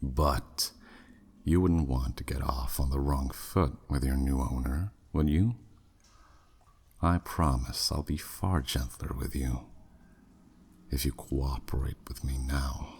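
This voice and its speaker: A man's voice: steady tone, takes it slowly, a little mellow, heavily acted. A man voicing a flirty, menacing demon who taunts a captive in a teasing, smug way, in a scripted ASMR roleplay. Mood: teasing, coaxing. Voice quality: very deep, husky, breathy at phrase ends. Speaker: audio roleplay performer.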